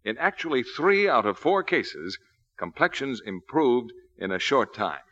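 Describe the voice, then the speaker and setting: A man's voice: medium pitch, big pitch swings, unhurried, fairly bright tone. An American man announcing in scripted 1940s commercial style, on a dull vintage radio recording.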